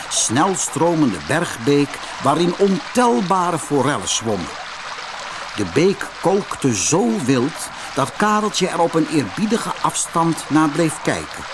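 A man's voice: a little high, big pitch swings, takes it slowly, noisy background. A man reading a fairy tale aloud as a scripted audiobook narrator.

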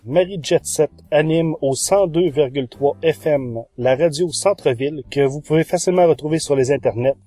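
Guy doing podcast reviews, mellow and a bit husky, music behind him. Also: fairly high pitch, moderate pace, few pauses, no echo. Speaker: podcast host. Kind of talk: podcast monologue reviewing audio shows.

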